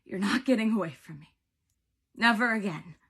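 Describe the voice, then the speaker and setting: A woman's voice: medium pitch, lively intonation, moderate pace, fairly bright tone, breathy edge, voice pushed up. A woman voicing a brave, devoted teenage boy hero in a storybook, urgent and commanding. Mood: fierce devotion.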